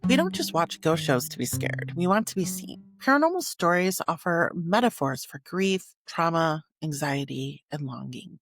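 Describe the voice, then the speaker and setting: A woman podcast host delivering scripted narration in an earnest way, with short dramatic phrases and emphatic pauses. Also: sits low, sing-song, soft background music.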